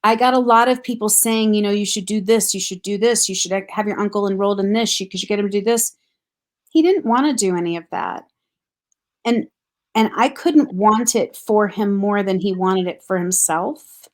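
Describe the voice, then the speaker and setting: A middle-aged woman talks in an earnest, reflective way. Her voice sits at an ordinary middle pitch, and she chuckles lightly between thoughts. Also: normal speed. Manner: thoughtful, earnest, laughs softly. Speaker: grief counselor guest. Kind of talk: reflective casual conversation.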